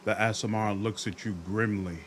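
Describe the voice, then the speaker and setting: A man giving dramatic scripted narration of a fantasy game, deliberate and theatrical. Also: lowish voice, fairly even intonation, slight background noise. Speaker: gaming video narrator.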